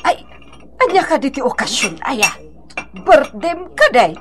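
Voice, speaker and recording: High-pitched nosy neighbour. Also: female voice, sing-song, a little slow, fairly bright tone, a little hiss or hum, exaggerated voice. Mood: curious. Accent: Filipino. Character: nosy, resentful neighbour woman, sharp-tongued. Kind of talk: radio drama acting.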